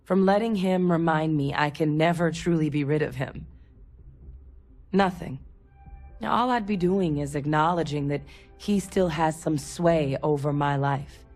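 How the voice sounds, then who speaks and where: A woman playing a sincere, resolute soldier in a video game, speaking in an earnest, reflective, measured way. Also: low voice, darkish tone, soft background music. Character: sincere, resolute female soldier haunted by her father. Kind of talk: dramatic video game dialogue.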